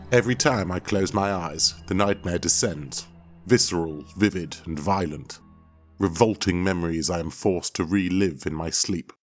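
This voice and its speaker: An actor playing a proud, bitter, obsessed expedition leader and husband, delivered as grandiose, theatrical first-person audio drama narration. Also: male voice, low voice, natural voice. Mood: brooding, haunted.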